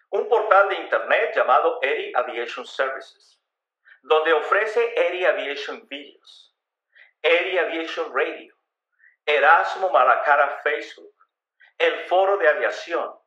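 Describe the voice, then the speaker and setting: A man's voice: a little high, lively intonation, measured pace, pushed and bright, muffled recording. A man reading a scripted life story as a dramatic narrator. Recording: roomy, echoing voice recording.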